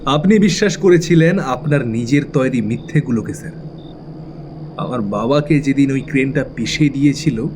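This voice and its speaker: A man's voice: mid-range voice, normal speed, noisy background, natural voice. A man acting a young avenger in an audio thriller, controlled, taunting and menacing beneath a show of politeness. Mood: cold accusation. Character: cold, taunting young avenger of his dead father.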